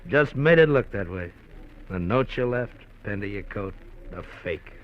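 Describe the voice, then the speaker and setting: An actor playing a menacing, smooth-talking gangster who mocks and threatens with chuckles, in a 1950s radio drama. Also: male voice, fairly low pitch, animated, a little slow. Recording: dull lo-fi 1950s radio recording with faint hiss.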